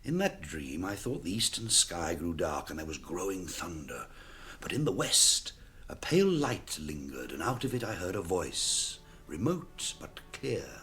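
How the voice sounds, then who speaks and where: A proud, embattled warrior of noble birth in a radio fantasy. He declaims emphatically in a lowish voice that rises and falls widely. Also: sing-song, measured pace, a bit bright, heavily acted. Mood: proud, urgent. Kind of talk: radio drama acting.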